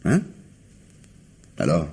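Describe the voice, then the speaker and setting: An actor playing a scheming, persuasive husband in a French radio drama, with an accent from France and an insistent, coaxing way of reasoning. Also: male voice, very slow, mellow. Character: scheming, persuasive husband desperate to save his home.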